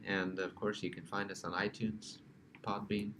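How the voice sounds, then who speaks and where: A man in his forties hosting a casual podcast, his voice sitting a little low. He jokes and teases his guests. A faint hiss runs underneath. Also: flat delivery, moderate pace. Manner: joking, teasing banter. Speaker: podcast host.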